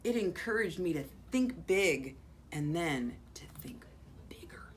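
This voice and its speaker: An American woman, a school principal, reading a children's picture book aloud expressively, with dramatic pauses and drawn-out words. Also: lowish voice, lively intonation. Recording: faint background noise.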